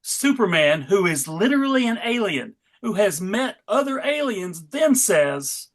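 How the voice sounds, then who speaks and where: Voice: mid-range voice, sing-song, a little slow. A narrator reading a comic book aloud dramatically, with wry asides.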